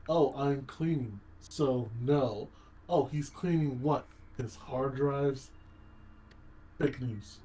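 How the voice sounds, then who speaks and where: A man's voice: very slow, mellow. A man bantering casually over a game livestream on an online voice call, swearing and often repeating his phrases. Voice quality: low baritone voice. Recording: voice chat with clear background noise.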